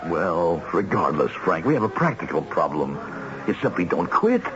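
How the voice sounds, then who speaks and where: An actor playing a reluctant, conflicted contract killer with an emphatic, dramatic delivery in a radio drama. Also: male voice, sits low, big pitch swings, normal speed. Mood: firm, reassuring. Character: reluctant contract killer, practical man turning conflicted and anguished. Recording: dull, noisy old radio broadcast recording.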